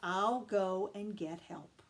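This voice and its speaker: A woman's voice: mid-range voice, slow. A woman voicing a determined young girl rabbit from a storybook, earnest and firm. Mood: reassuring. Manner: firm, urgent, encouraging.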